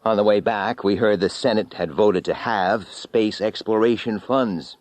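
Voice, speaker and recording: A man narrating a first-person story as an audiobook reader, expressive and brooding, with dramatic pauses. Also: on the low side, dry recording.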